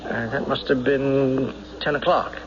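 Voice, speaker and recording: An actor in an old-time radio drama playing a nervous, evasive lovestruck suspect, hesitant and stammering. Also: male voice, medium pitch, steady tone, highs cut off, close to an everyday voice. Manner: hesitant, halting, stammering under questioning. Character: nervous, evasive man in love, suspected of murder. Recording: noisy band-limited old radio broadcast recording.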